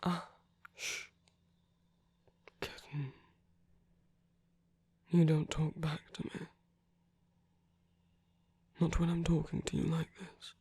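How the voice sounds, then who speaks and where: A man playing a tender but commanding villain in a romantic ASMR roleplay, speaking softly and breathily with measured pauses, often dropping to a whisper. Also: on the high side, level tone, husky, no room sound, heavily acted. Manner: slow, gentle, measured, with deliberate pauses. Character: tender, commanding male villain comforting his lover.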